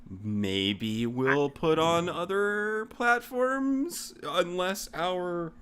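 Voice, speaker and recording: A man's voice: high voice, animated, extremely slow, a bit bright, clear, resonant tone. A man hosting a casual podcast chat, rambling and self-deprecating as he moves through topics. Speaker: podcast host.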